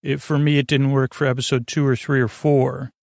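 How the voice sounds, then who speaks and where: A man hosting a bedtime podcast in a rambling, halting monologue, with a creaky voice, often repeating himself and trailing off. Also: mid-range voice, little pitch movement, a little mellow, dry recording, clean studio sound. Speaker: podcast host.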